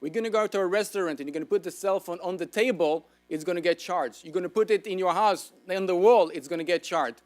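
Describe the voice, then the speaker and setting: A man's voice: sits high, fast, few pauses, soft background music. A man with an Israeli accent, lecturing an audience with enthusiasm and asking them questions. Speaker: speaker.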